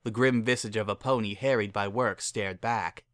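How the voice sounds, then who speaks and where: A man's voice: on the low side, fairly even intonation, normal speed, fairly bright tone, dry recording, clean studio sound. A man narrating a story as a scripted dramatic reading.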